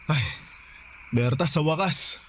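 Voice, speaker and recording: A man's voice: fairly high pitch, slow pace, a bit bright, slight background noise. A male actor in a Filipino radio drama playing a tender bachelor neighbour, earnest and wooing. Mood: eager, tender. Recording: prominent music under the dialogue.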